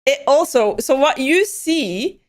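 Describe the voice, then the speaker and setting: A woman's voice: sits high, lively intonation, takes it slowly. A young Belgian woman, chatting casually on a livestream, rambling and answering chat messages as they come in. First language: Dutch. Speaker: livestream host.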